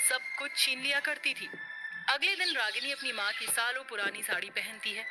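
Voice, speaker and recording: Woman storyteller, scripted narration, highish voice, music really loud behind her.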